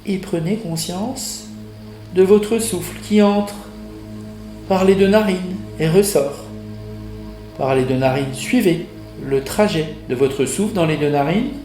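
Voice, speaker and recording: A man with a fairly high voice guides a relaxation session very slowly. He gives short, measured instructions with pauses between them. The recording is roomy and echoing. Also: mellow. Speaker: yoga nidra instructor. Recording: roomy echo, music behind the voice.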